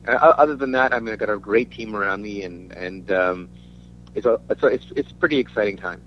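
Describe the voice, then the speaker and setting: A man from Denmark giving a casual interview as a musician, talking in a halting, rambling way. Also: lowish voice. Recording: dull lo-fi audio with faint hum.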